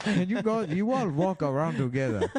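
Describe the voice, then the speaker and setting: A man doing a comedic mock Nigerian accent as an earnest entrepreneur pitching absurd products. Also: animated, normal speed, crowd noise, lightly acted. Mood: earnest, salesmanlike. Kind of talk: improvised comedy impression.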